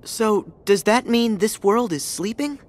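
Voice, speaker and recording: An actor voicing an earnest, excitable teenage boy hero in a video game, eager and sometimes hesitant. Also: medium pitch, unhurried, close to an everyday voice. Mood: puzzled, surprised.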